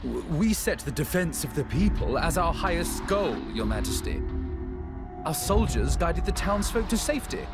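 A man's voice: fairly high pitch, big pitch swings, moderate pace. An actor playing a king's haughty, defensive brother in a video game, formal and blustering. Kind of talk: video game cutscene acting. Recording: loud orchestral music behind.

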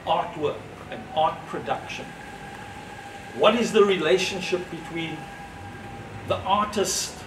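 A man in his sixties giving a reflective, measured speech to an audience at a gallery opening, with pauses between phrases. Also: fairly high pitch. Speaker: speaker at an exhibition opening. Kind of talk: unscripted public speech. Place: gallery entrance, indoors facing an audience. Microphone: far camera, no mic seen. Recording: roomy, distant, noisy ambience.

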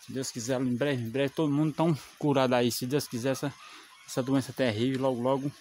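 Chatty builder vlogging outdoors. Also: male voice, medium pitch, steady tone, a little fast, darkish tone, slight background noise. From Brazil. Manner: chatty, devout, keeps pointing things out. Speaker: bricklayer vlogger. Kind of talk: casual on-site vlog. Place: outdoors at a rural building site. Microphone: phone camera held by the speaker.

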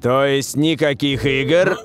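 An actor voicing a stern, middle-aged male football coach in a dubbed cartoon, with a gruff, commanding and dismissive manner. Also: medium pitch, takes it slowly, natural voice. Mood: firm, instructive.

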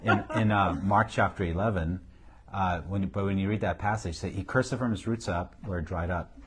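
A man's voice: sits low, normal speed, husky. A middle-aged man with a Syrian accent, a pastor talking casually and emphatically about ministry, recorded on a lapel mic in an office. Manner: emphatic, repeats key phrases, chuckles. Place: indoor office, seated on couch.

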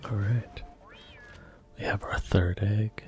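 A man softly whispering his way through a video game, gentle and unhurried, close to the mic. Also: lowish voice, steady tone, soft background music. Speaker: ASMR gaming host. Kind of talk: soft-spoken ASMR gameplay commentary.

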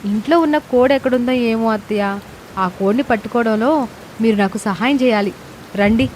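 A woman's voice: on the high side, normal speed, darkish tone, slight background noise, lightly acted. A woman playing a dutiful, easily hurt young daughter-in-law in a cartoon moral story, plaintive and respectful. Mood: eager.

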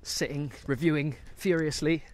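Higher-voiced young British man, outdoors. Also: steady tone, normal speed, loud music behind the voice. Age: 20s. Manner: rambling, musing. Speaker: film review co-host. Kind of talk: casual chat while walking. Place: outdoors, walking through countryside and woods. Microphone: handheld camera at arm's length. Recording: outdoor camera audio with background noise.

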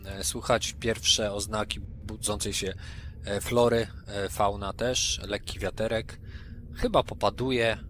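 A man with a fairly low voice hosts a tabletop roleplaying game. He speaks as the game master, presenting and describing for listeners. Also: fairly bright tone, a little hiss or hum.

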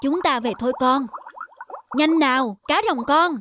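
A woman's voice: high voice, unhurried, fairly bright tone, slight background noise. A woman voicing a protective, strict mother fish in a children's cartoon, scolding and worried. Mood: gently scolding. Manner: scolding, firm. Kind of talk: animated children's cartoon dialogue. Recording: children's music behind dialogue.

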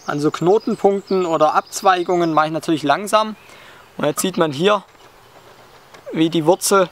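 A young man calmly explaining a bushcraft technique while working with his hands, sitting outdoors in a forest, with frequent hesitation fillers. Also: a little high, slight background noise. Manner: calm, explanatory, many "ähm" fillers. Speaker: bushcraft instructor.

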